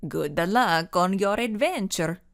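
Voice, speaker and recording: A woman voicing a kindly, amused Italian man with a thick Italian accent and a halting, chuckling way of talking. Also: medium pitch, lively intonation. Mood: gentle.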